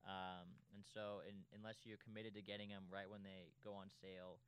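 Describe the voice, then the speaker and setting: A young man with a slightly raspy voice, a guest chatting casually on a podcast and telling stories with easy laughs. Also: low voice, moderate pace. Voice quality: slightly raspy after a festival. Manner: relaxed, laughs easily, storytelling. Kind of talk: casual chat.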